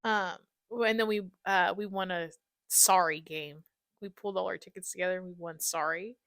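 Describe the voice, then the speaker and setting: A young adult woman hosting a podcast in a casual chat, giggly and animated as she tells stories with slangy exclamations. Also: lowish voice, normal speed, no echo, clean studio sound. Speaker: podcast host. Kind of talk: casual chat, reminiscing with a friend.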